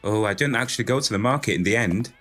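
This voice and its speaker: An actor playing a naive, dim, excitable young male dreamer in a pantomime audio drama. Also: fairly low pitch, quick pace, soft background music, lightly acted. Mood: earnest.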